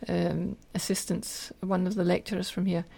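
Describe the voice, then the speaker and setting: Older scottish lady on a podcast, bit of creak in the voice, telling her story earnestly and in detail. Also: fairly low pitch, level tone, moderate pace, dark tone. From Scotland. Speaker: podcast guest, teacher and lecturer. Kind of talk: personal storytelling in interview.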